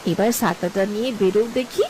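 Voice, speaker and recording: A woman's voice: mid-range voice, brisk, darkish tone, a little hiss or hum, natural voice. Woman acting nagging, impatient village wife. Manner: scolding, insistent. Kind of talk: Bengali cartoon voice acting.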